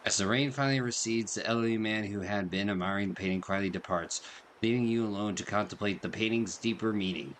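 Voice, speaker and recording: A man's voice: lowish voice, fast talker, fairly bright tone, a little hiss or hum. A man hosting a casual game playthrough, reading dialogue aloud and commenting informally. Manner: informal, slangy. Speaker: gaming video host. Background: game music.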